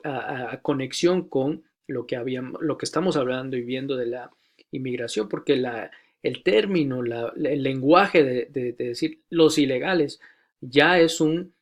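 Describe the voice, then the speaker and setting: A man giving a recorded lecture to church leaders, measured and deliberate, with frequent hesitations and repeated key phrases. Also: medium pitch.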